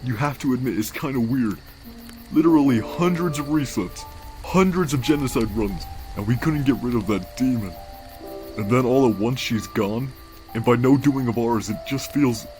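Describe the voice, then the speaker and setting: A man voicing a weary, wisecracking skeleton big brother in a comic dub, casual and halting, with a tender, secretly anguished streak. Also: mid-range voice, natural voice. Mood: tired, wry. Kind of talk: comic dub character acting. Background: background music.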